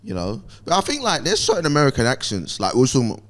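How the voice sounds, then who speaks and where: A young man with a London accent, a guest comedian in relaxed conversation, laid-back and quick to laugh. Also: mid-range voice. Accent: London English. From England. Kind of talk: casual chat.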